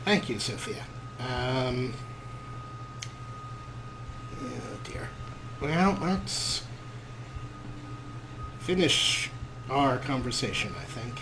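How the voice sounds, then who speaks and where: A man's voice: medium pitch, very slow, pauses often, noisy background. A man hosting a gaming show with casual let's-play commentary, talking in a wry, rambling way.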